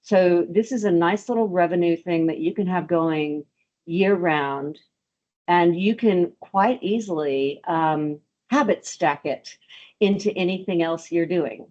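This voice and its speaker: A woman's voice: fairly low pitch, a little mellow, lo-fi sound. A woman hosting a fundraising webinar over a video call, speaking with frequent ums and restarts. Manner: hesitant, many ums and restarts. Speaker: webinar host. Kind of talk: webinar presentation.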